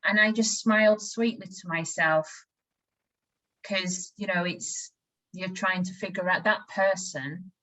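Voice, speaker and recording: A woman's voice: on the low side, steady tone, a little slow, sharp tone, low-quality recording. A woman from West Yorkshire with a Yorkshire accent, an interviewer sharing a personal story frankly in conversation. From West Yorkshire, England.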